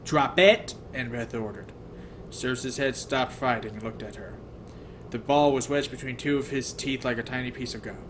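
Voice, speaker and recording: A man's voice: mid-range voice, steady tone, fairly quick. A man reading a first-person novel aloud as narrator, sometimes stumbling and rereading phrases. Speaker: audiobook reader. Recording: noticeable background noise.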